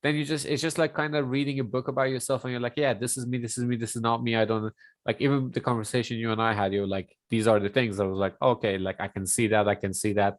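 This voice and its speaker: A man with a medium-pitched voice talks casually as a podcast guest. His delivery is loose and halting, peppered with "like". The recording is close and dry. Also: flat delivery, dry recording. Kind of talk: casual chat.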